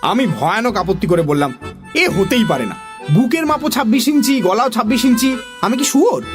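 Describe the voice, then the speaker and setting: Guy reading a kids story, super high voice, very swoopy and expressive, bit of music behind. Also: a little fast. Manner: expressive, theatrical. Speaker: narrator, also voicing a young boy. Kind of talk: animated children's story narration.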